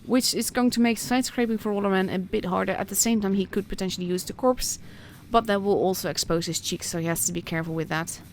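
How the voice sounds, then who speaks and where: A woman's voice: mid-range voice, quick pace, few pauses, a little hiss or hum. A woman with a Dutch accent giving casual, chatty live commentary on a game as a stream host. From Netherlands. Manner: chatty, informal, reacting as play unfolds.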